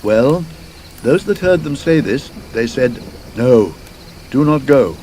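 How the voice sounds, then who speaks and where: A man voicing a reluctant Swedish innkeeper who tells a grim tale in a slow, halting, emphatic way, in an audiobook. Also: mid-range voice, mellow, a little hiss or hum. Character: Swedish innkeeper, reluctant, grave, warning storyteller.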